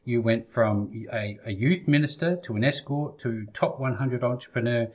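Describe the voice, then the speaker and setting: Male host for a casual interview show, average pitch, supportive and often chiming in briefly. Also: normal speed. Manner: encouraging, frequent short interjections.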